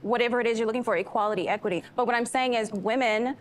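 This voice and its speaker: A woman's voice: fairly high pitch, very fast, slight background noise. A woman on a discussion panel, insistently repeating her point.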